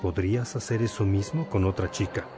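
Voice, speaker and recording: An actor playing a calm, fatherly bishop who instructs a novice in a measured way in a religious radio drama. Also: fairly low pitch, music in the background, lightly acted.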